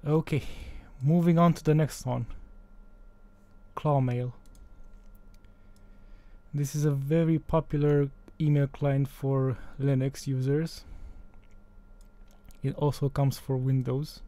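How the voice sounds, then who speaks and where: A man whose native language is Romanian, talking through a software tutorial in a casual, thinking-aloud way with mild exasperation. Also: medium pitch, little pitch movement, slow, pauses often, no room sound. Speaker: tutorial maker. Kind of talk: screen-recorded software tutorial.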